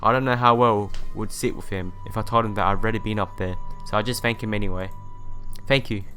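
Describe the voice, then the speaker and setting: Low-voiced man reading a story aloud over music. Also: fairly even intonation, fast, sharp tone. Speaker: let's play narrator. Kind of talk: reading a visual novel aloud.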